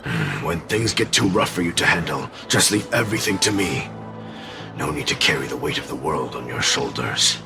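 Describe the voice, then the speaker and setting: Gravelly, taunting male spirit. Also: very deep voice, big pitch swings, moderate pace, over music, heavily acted. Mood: sly coaxing. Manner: taunting, theatrical, menacing. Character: sinister, mocking male spirit companion, bloodthirsty, tempting, scornful. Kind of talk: dramatic video game voice acting.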